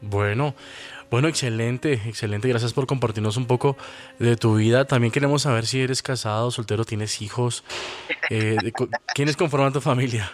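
A man's voice: medium pitch, normal speed. A man hosting an interview, asking questions and responding with friendly affirmations. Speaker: radio show host.

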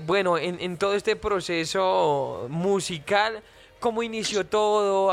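A Colombian man hosting a morning radio interview, his voice pitched noticeably high for a man. He asks questions in a friendly, joking way and teases his guest now and then. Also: fairly bright tone. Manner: playful, joking. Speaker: radio show host.

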